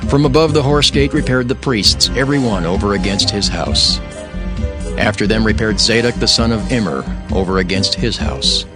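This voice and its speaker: A man narrating scripture for an audio drama in a solemn, formal and measured way. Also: fairly low pitch. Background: music.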